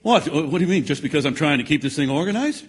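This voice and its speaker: A man playing a touchy, defensive jury foreman in an audio theatre drama, with a husky voice. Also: fairly high pitch, very animated, quick pace. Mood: offended, indignant.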